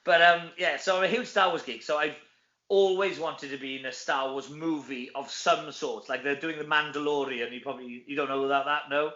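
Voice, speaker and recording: A man's voice: on the high side, fairly even intonation, brisk, sharp tone, reverberant, dull audio. A middle-aged Welsh man, an actor chatting casually as an interview guest, informal and full of tag questions. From Wales.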